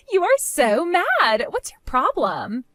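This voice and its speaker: A woman's voice: sits high, huge pitch swings, slow, fairly bright tone, no room sound, soft background music, pitched above the performer's own voice, exaggerated voice. A woman voicing a spiteful, mocking young woman who giggles and taunts, then pleads when cornered. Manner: sing-song, dismissive, turns pleading.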